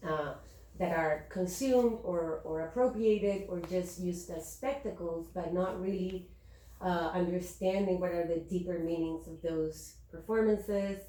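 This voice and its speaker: A woman from Chile speaking at a panel discussion, thoughtful and halting with many fillers and restarts. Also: fairly low pitch, dark tone. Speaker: panelist, activist and educator. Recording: echoey room.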